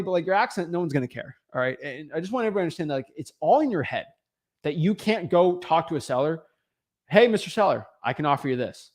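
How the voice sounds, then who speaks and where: A young man hosting a livestream, giving an emphatic motivational talk that repeats key phrases, with frequent filler words. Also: a little high. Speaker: host of a real estate livestream.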